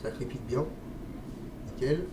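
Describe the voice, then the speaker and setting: A man's voice: mid-range voice, takes it slowly. A man with a slight cold chatting casually through a product review vlog, hesitant and friendly, often stopping to address his viewers. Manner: chatty, hesitant, says "les amis" and "hop" often. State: stuffy with a cold, coughing. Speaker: vape liquid reviewer. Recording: echoey room, distant mic, noisy.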